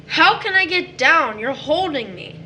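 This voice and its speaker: A woman's voice: sits high, animated, unhurried, sharp tone, slight background noise, full character voice. A woman playing a chatty, polite small boy in an audio drama, full of innocent questions and eager insistence. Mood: curious, insistent.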